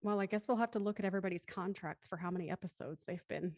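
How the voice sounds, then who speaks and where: A woman's voice: medium pitch, quick pace, darkish tone, dry recording, clean studio sound. A woman moderating a casual panel discussion, speaking reflectively and circling back over her phrases.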